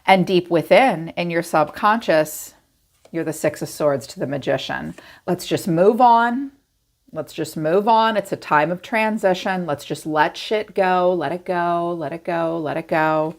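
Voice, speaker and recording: Chatty woman reading tarot, low voice. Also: unhurried. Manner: chatty, lively, acts out listeners' inner voices. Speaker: tarot reader. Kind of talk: casual spoken tarot reading.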